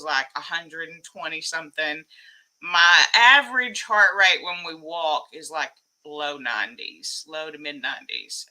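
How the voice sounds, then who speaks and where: A woman hosting a casual live stream, a little hoarse from losing her voice, rambling and laughing often as she greets her chat. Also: fairly low pitch, rough recording. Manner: excitable, reading chat names aloud.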